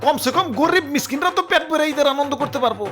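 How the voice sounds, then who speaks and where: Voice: high-pitched, fast talker, a bit bright, faint music behind. An actor voicing an angry, bossy talking bull in a comedy cartoon, scolding in colloquial Bangladeshi Bengali. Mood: indignant scolding. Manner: scolding, haranguing, sarcastic.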